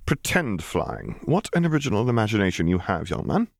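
A man's voice: lowish voice, huge pitch swings, fairly quick, gravelly, dry recording, heavily acted. A man voicing a dry, exasperated officer in a story, sarcastic and straight-faced.

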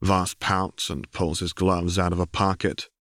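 A man's voice: deep voice, flat delivery, measured pace, fairly bright tone, no room sound, clean studio sound. A man reading a story aloud as scripted narration, like an audiobook reader.

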